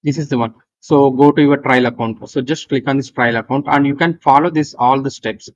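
Male tutorial presenter, Indian accent, mid-pitched, halting step-by-step delivery, muffled lo-fi screen-recording sound. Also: steady tone, a little hiss or hum. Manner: halting, repetitive step-by-step instruction. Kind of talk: screen-recorded software walkthrough, unscripted. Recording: dull lo-fi voice recording.

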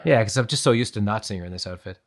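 A man's voice: lowish voice, very fast. A man co-hosting a podcast, making casual, wry, joking commentary with the others. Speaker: podcast co-host.

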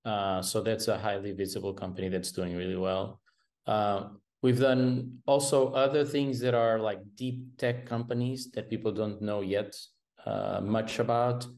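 A man's voice: on the low side, darkish tone. A man, a venture capital guest talking over a video call in a hesitant way, with many restarts. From Portugal. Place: home room with painting behind.

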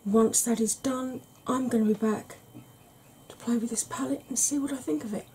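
A woman in her forties, a makeup vlogger, talking through an ASMR makeup tutorial slowly and gently in a soft, breathy voice that often drops to a whisper, recorded at home. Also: a little high, fairly even intonation, a little hiss or hum. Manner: slow, gentle, patient explaining. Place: small home room.

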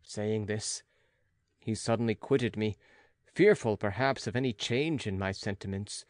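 A man narrating a classic gothic novel as an audiobook, in a measured, dramatic literary manner. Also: lowish voice, darkish tone, no room sound.

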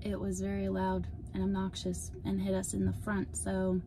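Young woman with a gravelly, chatty voice. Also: mid-range voice, completely flat delivery, moderate pace, background noise. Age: young adult. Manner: chatty, upbeat explaining. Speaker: vlog co-host. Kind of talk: casual vlog talk. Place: inside a parked car. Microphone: phone camera at arm's length.

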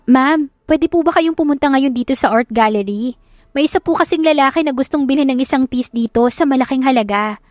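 A woman's voice: high voice, brisk, dry recording, studio quality, voice pushed up, natural voice. A woman voicing a polite, deferential young female secretary in an audio love story. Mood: polite, hesitant.

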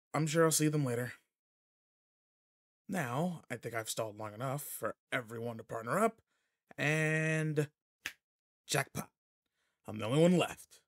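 A man's voice: medium pitch, moderate pace, long pauses, close to an everyday voice. A man playing a cocky, irritable teenage delinquent in a roleplay audio drama, talking casually and teasingly straight to the listener. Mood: muttering, bored.

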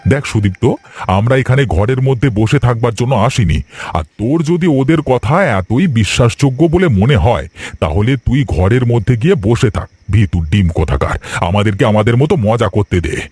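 A man's voice: mid-range voice, expressive, moderate pace, a little mellow, breathy edge, dry recording. A man voicing a brash, stubborn young man in a horror cartoon, insistent in manner. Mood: scoffing. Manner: scoffing, insistent.

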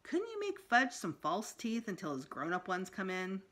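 A woman's voice: medium pitch, huge pitch swings, normal speed, fairly bright tone. A woman voicing a wry fourth-grade boy, a reluctant big brother in a storybook.

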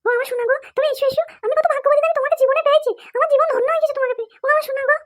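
A woman voicing a sugary, obedient young wife in a comic cartoon, gushing through a pitched-up effect. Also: steady tone, rapid-fire, fairly bright tone. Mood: adoring. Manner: gushing, sing-song, fussing over husband.

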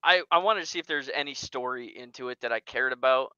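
A man's voice: medium pitch, fast talker, sharp tone, clean tone, dry recording. A young man chatting casually in group banter, joking at his own expense, on a headset. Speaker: podcast panelist. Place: dim bedroom gaming setup, indoors. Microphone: headset on an online call. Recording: slightly dull online call audio.

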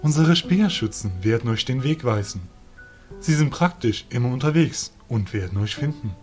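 A man's voice: mid-range voice, expressive, a little mellow, soft background music, close to an everyday voice. A man acting a proud, courteous fairy soldier guide with a formal manner in a fantasy audio drama. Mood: courteous, helpful. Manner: measured, formal.

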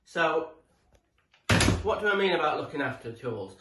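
A man's voice: fairly high pitch, very animated, normal speed. A British man in his forties speaking casually and chattily to camera as a tradesman giving informal, rambling tool tips. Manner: chatty, rambling, matey, mildly sweary. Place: living room, indoors. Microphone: camera a few feet away. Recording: echoey room, distant mic, background noise.

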